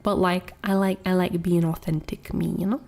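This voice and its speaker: Young female streamer, congested from a cold, gravelly, rambling casual chat. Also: on the low side, little pitch movement, normal speed, darkish tone. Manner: rambling, many fillers and restarts.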